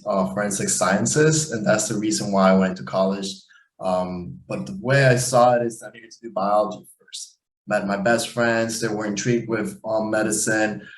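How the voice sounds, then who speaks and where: A young adult man speaking on a video call as a panelist, modest and chatty. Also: fairly low pitch. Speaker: panelist, medical student. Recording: echoey room with faint noise.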